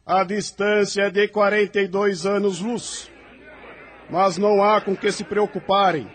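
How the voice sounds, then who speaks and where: Man acting a solemn high-voiced angel, huge reverb. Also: little pitch movement, measured pace. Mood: solemn, commanding. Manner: formal, commanding, solemn declamation. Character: Cherub, solemn authoritative male angelic spirit of light. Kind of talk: audio drama acting. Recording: long cavernous reverb.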